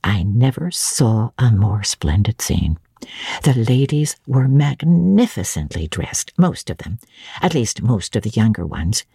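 A woman voicing an elderly, genteel housekeeper in a classic audiobook, chatty and admiring as she savours each detail in a measured way. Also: a bass voice, big pitch swings, creaky, warm tone, breathy edge, dry recording, clean studio sound, voice pushed down, exaggerated voice. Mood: fondly admiring. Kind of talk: dramatic audiobook reading of classic literature.

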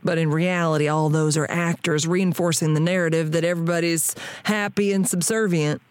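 A woman's voice: lowish voice, fairly even intonation, fairly quick, no room sound, close to an everyday voice. A woman playing a world-weary, plain-spoken radio tinkerer with a folksy frontier manner in an audio drama. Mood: knowing, practical.

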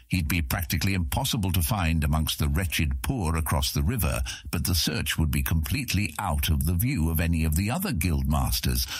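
A man's voice: very deep voice, husky, no room sound, clean studio sound. A man narrating a fantasy audiobook in a scripted, dramatic storytelling manner.

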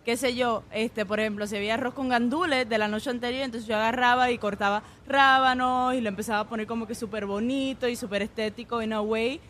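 A woman's voice: fairly high pitch, quick pace, few pauses, slight background noise. Woman chef with a mixed Puerto Rican-Mexican accent. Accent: mixed Puerto Rican and Mexican Spanish. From Puerto Rico. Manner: relaxed storytelling, frequent "este" fillers. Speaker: guest chef. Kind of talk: radio interview.

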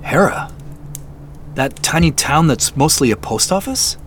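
A man's voice: a little high, lively intonation, unhurried, slight background noise. An actor playing an uneasy, wry everyman investigator in a naturalistic audio drama, confiding and hesitant, muttering to himself at times. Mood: earnest, explaining. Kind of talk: naturalistic audio drama acting with first-person narration.

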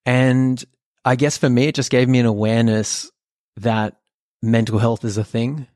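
A man's voice: mid-range voice, flat delivery, moderate pace, a little mellow, dry recording, studio quality. A man in his thirties from Australia whose first language is German, telling his life story in an earnest, reflective way with many fillers. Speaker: podcast co-host. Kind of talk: personal storytelling.

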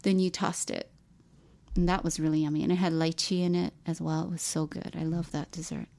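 A woman's voice: sits low, little pitch movement, brisk, gravelly, warm tone. A woman from Hawaii in casual conversation, fondly recalling family holiday food and explaining local identity. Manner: reminiscing, with frequent restarts and "like". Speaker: podcast co-host. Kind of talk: casual chat.

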